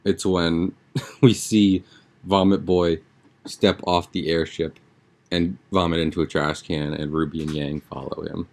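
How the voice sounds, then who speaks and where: A man's voice: deep voice, flat delivery, measured pace. A young man chatting casually as a podcast host, with an informal, slangy, rambling way of talking. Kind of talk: casual podcast chat, episode recap.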